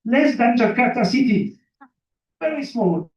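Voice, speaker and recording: Echoey, high-voiced French-accented man speaking slowly. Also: animated, darkish tone. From Belgium, born in Burundi. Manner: repeats phrases for emphasis, quizzes the listeners, simple non-native English. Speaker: seminar guest speaker. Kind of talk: motivational talk to an audience, telling own life story. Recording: very echoey room, distant mic, muffled.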